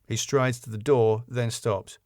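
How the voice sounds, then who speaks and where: A man narrates a comedy script, reading out scene headings and stage directions. His voice is mid-pitched, and the recording is very dry with no room sound. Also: fairly even intonation, a little slow, darkish tone, no room sound.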